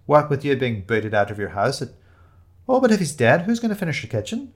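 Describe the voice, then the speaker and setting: A man's voice: medium pitch, expressive, brisk, pitched above the performer's own voice, natural voice. A man voicing a chatty, bossy young woman who gushes in breezy, emphatic run-on chatter for an audio storybook. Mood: gossipy, indignant. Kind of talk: audio storybook character dialogue.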